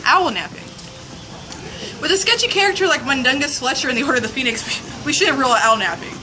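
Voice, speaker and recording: High-voiced woman reading theatrically amid street noise. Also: lively intonation, fast talker. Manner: theatrical, playful mock-serious delivery. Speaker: reader of a fan zine. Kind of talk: dramatic reading of a humorous fan essay. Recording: noisy outdoor urban recording with traffic.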